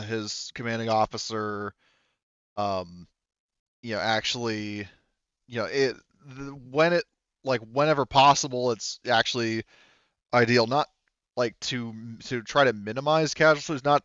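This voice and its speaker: A man improvising as game master of a tabletop roleplaying game, halting as he searches for words and swearing casually. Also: mid-range voice, a bit bright, smooth, dry recording, muffled recording. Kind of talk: improvised narration of a tabletop roleplaying game, relaying characters' replies.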